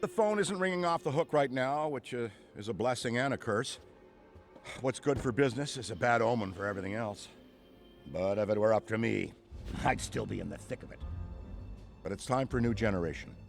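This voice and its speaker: A man's voice: medium pitch, a little fast, vocal fry, faint music behind, natural voice. A middle-aged man playing a nostalgic, effusive, bookish veteran ghost hunter in a game cutscene, talking in a wordy, theatrical way. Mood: chatty, reflective. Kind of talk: video game cutscene monologue.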